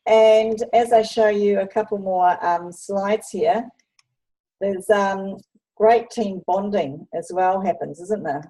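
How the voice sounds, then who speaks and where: A woman's voice: medium pitch, takes it slowly, mellow, rough recording. A woman from New Zealand with a New Zealand accent, hosting an interview while showing slides and chatting with frequent ums. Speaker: host presenting a netball travel program.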